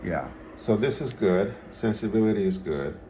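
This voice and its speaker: A man giving an unscripted hands-on demonstration as a radio hobbyist, speaking in a halting, matter-of-fact way. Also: fairly low pitch, lively intonation, background noise.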